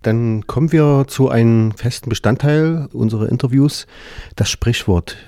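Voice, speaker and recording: Male radio interviewer, warm mellow tone, dry close sound, calm questions. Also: medium pitch, normal speed, dry recording. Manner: calm, asks several questions in a row.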